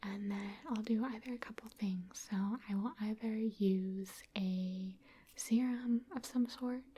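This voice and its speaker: A woman from Wisconsin, an ASMR creator, answering viewer questions in a soft, chatty, self-deprecating whisper. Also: mid-range voice, steady tone, unhurried, slight background noise. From Wisconsin, USA.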